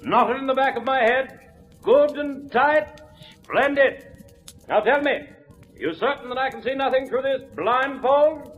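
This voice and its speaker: A grand, very high-pitched stage mentalist. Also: male voice, a little slow. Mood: grand, commanding. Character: masked male stage mentalist, grand, commanding showman. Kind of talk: 1940s radio drama stage patter. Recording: muffled old radio recording, faint music.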